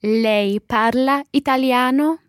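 A woman with a slightly high voice speaks slowly and carefully, echoing phrases back as she learns them. The recording is dry and close. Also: clear, resonant tone. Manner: careful, repeats phrases after the teacher. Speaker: co-host learner. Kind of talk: repeating phrases in a lesson.

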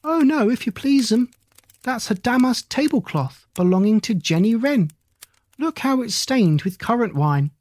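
A woman voicing a high, fussy hedgehog washerwoman. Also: expressive, measured pace, darkish tone, dry recording. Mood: fussy polite. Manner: bobbing, deferential, old-fashioned polite. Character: stout anxious female hedgehog washerwoman.

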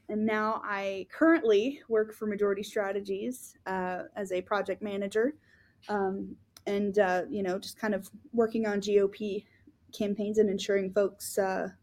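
Woman on a podcast, earnest, lots of ums, mid-pitched voice. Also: fairly even intonation, moderate pace, a little mellow. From Florida. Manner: earnest, many ums and restarts. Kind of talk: casual conversational storytelling.

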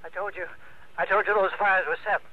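A man's voice: high-pitched, steady tone, fairly quick. An actor playing an agitated, stammering man calling by telephone in a radio drama. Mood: panicky. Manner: stammering, breaking off. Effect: telephone filter. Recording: muffled telephone-filtered old radio audio.

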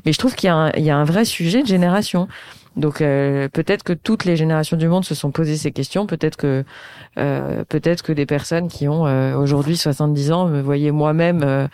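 Smooth-voiced woman in her thirties. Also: sits low, a little fast, dark tone, no echo. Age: 30s. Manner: thoughtful, searching for words, talkative. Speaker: interviewer. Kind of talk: conversational interview.

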